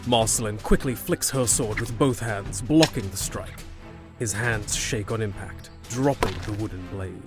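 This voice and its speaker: A man's voice: fairly low pitch, unhurried, over music. A man narrating a scripted fantasy audio drama as a storyteller.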